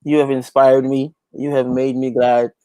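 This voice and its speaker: A man's voice: medium pitch, little pitch movement, unhurried, warm tone, clean tone, low-quality recording. A man talking casually as an interview guest, preaching a little and repeating phrases for emphasis. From Guyana. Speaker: interview guest, gospel reggae artist and youth pastor.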